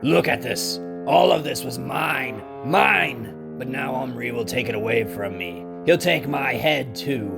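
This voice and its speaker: An actor playing a defeated, bitter usurper king in a Bible audio drama, with a gravelly voice and slow, dramatic delivery. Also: mid-range voice, over music. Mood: bitter, anguished.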